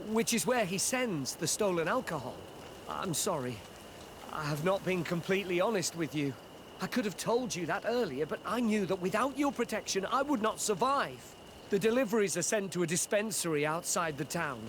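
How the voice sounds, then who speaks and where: A man's voice: high-pitched, expressive, moderate pace, a little hiss or hum. An actor playing a nervous, frightened male informant who stammers and pleads, in a video game. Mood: apologetic.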